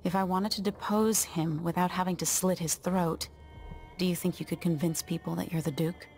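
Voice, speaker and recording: A woman's voice: lowish voice, steady tone, normal speed, darkish tone, soft background music, natural voice. An actress playing a determined young royal woman in a video game, terse, controlled and businesslike. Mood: cool resolve. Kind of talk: video game cutscene acting.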